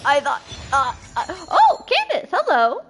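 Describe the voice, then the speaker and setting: A woman with a high voice streams a game over prominent music. She talks at a slow pace in a casual way, with a dramatic, excitable manner. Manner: excitable, dramatic, pleading exclamations. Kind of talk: live gameplay commentary, casual chat.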